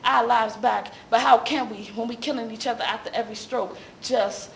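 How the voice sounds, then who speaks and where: A woman's voice: a little high, fairly even intonation, moderate pace, creaky. A woman performing slam poetry as rhythmic, rhyming spoken word with an emphatic, cadenced delivery. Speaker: slam poet. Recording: echoey room sound with faint noise.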